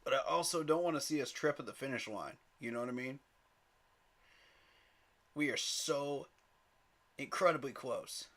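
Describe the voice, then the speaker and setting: A man's voice: mid-range voice, moderate pace, pauses often, fairly bright tone. A man hosting a live sports fan stream, talking straight to his chat in an emphatic, pleading way and chuckling at rival fans.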